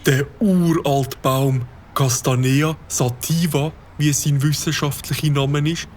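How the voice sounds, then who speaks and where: A learned, wordy bird-watcher from a cartoon, lecturing with a Swiss accent. He talks slowly and pompously in a fairly high voice, with soft noise under the track. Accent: Swiss German. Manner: pompous, long-winded lecturing.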